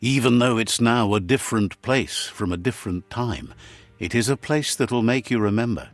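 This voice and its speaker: A middle-aged man giving calm, scripted storybook narration for a game, relaying what the characters say. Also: medium pitch, moderate pace. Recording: studio voice-over with soft game music.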